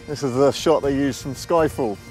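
A man's voice: medium pitch, moderate pace, a little mellow, soft background music. A man, chatting casually and matter-of-factly to camera as a car vlogger, on a lapel mic. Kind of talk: casual vlog talk to camera. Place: mostly outdoors, car parks and roadside. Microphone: lavalier with furry windshield.

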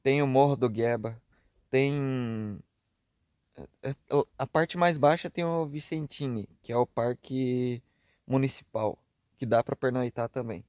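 A man in his thirties with a southern Brazilian accent chats easily to a handheld camera, tagging his sentences with little questions. His voice is mellow and dark in tone, mid-pitched, and sounds close and dry with no room around it. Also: a little slow, no echo. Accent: southern Brazilian, Rio Grande do Sul. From Rio Grande do Sul, southern Brazil. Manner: chatty and easygoing, with frequent tag questions. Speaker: travel vlogger. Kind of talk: casual vlog narration. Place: outdoor campsite on a grassy hilltop, earlier inside a car at night. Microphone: camera held at arm's length.

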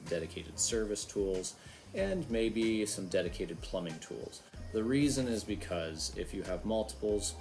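A young adult man hosting a casual instructional video, talking to the camera about his trade. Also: sits low. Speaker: host, HVAC technician. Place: outdoor covered patio by a garden. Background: background music.

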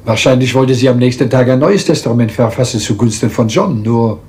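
An actor playing a small, self-assured Belgian detective with a French accent, theatrical and politely lecturing in a radio crime drama. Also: male voice, medium pitch, darkish tone, natural voice. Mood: triumphant. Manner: theatrical, deliberate, polite, lecturing, teasing rhetorical questions.

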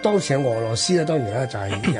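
A man with a Hong Kong Cantonese accent and a husky voice, telling history conversationally as a radio host, emphatically drawing out the ends of his phrases. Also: mid-range voice, expressive, loud music behind the voice. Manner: emphatic storyteller with drawn-out phrase-ending particles.

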